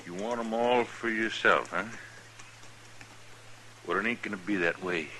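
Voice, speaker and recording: An actor playing a brash, leering, resentful frontier troublemaker with a rural American accent in an old radio western. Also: male voice, on the low side, a little slow, sharp tone. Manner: insolent, slangy. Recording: noisy old-time radio recording.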